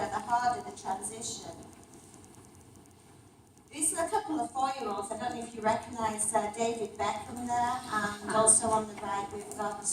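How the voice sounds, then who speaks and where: A woman pitches her product to an audience in a fairly high voice, moving briskly with the odd um. The sound is distant and very echoey, carrying a faint hum of the room. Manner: brisk, with fillers. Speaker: startup founder presenting. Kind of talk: business pitch. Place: community event hall.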